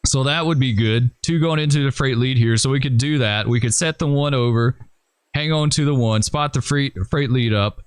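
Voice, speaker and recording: A man hosting a gaming channel, thinking aloud and rambling with hesitations as he plans moves in a train simulator game, his voice going hoarse. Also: medium pitch, normal speed, no room sound. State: losing his voice. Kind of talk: casual gameplay commentary.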